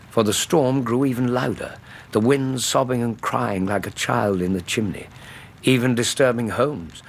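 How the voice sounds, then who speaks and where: A Victorian English gentleman recounting a tale for radio drama. His voice is very deep, his phrasing eloquent and measured. A faint wash of stormy ambience sits behind him. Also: a little hiss or hum. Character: Doctor Watson, gentlemanly Victorian male narrator and loyal friend. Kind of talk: dramatic literary radio narration.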